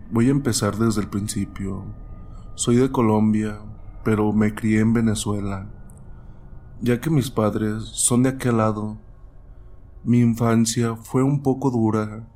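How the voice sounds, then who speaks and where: A man's voice: on the low side, warm tone. A man hosting and narrating a show of listeners' stories, reading them aloud in a storytelling style.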